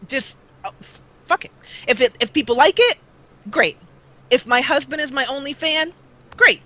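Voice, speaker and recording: Bright-voiced chatty woman in her forties. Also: high voice, lively intonation, moderate pace, poor audio. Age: 40s. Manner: rambling, chatty, with many fillers. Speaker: guest let's player. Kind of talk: casual interview chat. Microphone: old duct-taped mic that cuts out.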